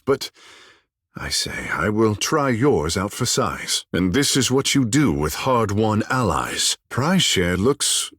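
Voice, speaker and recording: An actor playing a vain, charming godlike islander in a video game, with theatrical, lofty, courtly phrasing. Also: male voice, on the low side, fairly bright tone, breathy edge, dry recording, studio quality, heavily acted. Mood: wry, flirtatious. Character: flamboyant, vain, charming godlike islander man, water shaper. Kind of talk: video game character dialogue.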